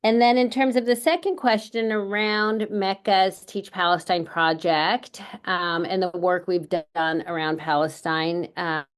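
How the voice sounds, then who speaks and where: A middle-aged woman from California, an educator, speaking earnestly and in a measured way at a book launch panel, with frequent pauses and fillers. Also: lowish voice, fairly even intonation, clean tone. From California, United States.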